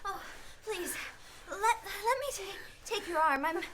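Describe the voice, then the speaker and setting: Woman acting timid young woman, extremely high bright voice, hesitant, radio play, noisy recording. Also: expressive, unhurried, exaggerated voice. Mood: uneasy. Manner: hesitant, stammering, seeking agreement. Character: timid, superstitious young city woman, easily frightened.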